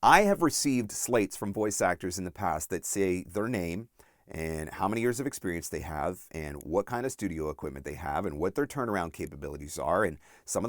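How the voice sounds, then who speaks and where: A man with a fairly low voice talks straight to the listener like a coach walking through practical tips. He is direct and emphatic, leaning on key words with deliberate phrasing. The sound is close and dry, with very little room. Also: no room sound. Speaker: voice-over coach and voice actor giving audition tips. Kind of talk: instructional video talk to camera, numbered tips.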